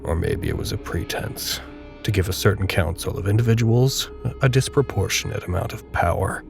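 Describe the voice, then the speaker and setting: A man narrating a dark audio drama in a measured, literary, grave and detached manner. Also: low-pitched, creaky, over music. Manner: measured, literary, solemn storytelling.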